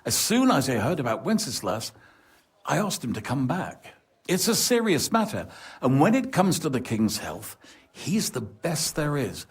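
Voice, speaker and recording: Worldly medieval nobleman for a game; rough husky voice, animated intonation, formal and worried. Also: on the high side, a little slow, heavily acted. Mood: earnest. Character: worldly, anxious medieval lord seeking a favour. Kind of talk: video game cutscene acting.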